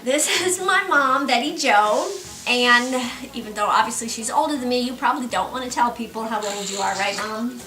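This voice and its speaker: A middle-aged woman comedian chatting casually as an interviewer, halting and coaxing, recorded from a distance in a roomy space. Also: a little high, lively intonation, pushed and bright. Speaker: comedian presenting a one-woman show and interviewing.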